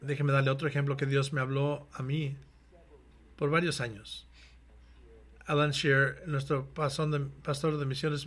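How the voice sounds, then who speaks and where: An earnest pastor calls his congregation to action and then moves into prayer. His voice sits a little higher than most men's. He often breaks off and restarts his phrases. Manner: earnest, exhorting, with frequent restarts. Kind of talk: sermon with closing prayer.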